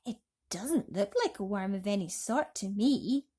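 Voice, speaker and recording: A woman's voice: mid-range voice, lively intonation, unhurried. A woman voicing a polite, hesitant little boy in a children's storybook. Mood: puzzled. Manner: hesitant, polite, softly questioning.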